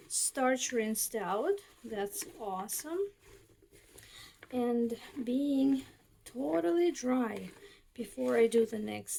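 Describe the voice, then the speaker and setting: Slow, chatty woman with a raspy voice. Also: a little high, darkish tone, a little hiss or hum. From northern United States. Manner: chatty, many fillers. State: raspy from wildfire smoke. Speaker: cooking video host. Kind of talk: casual cooking tutorial.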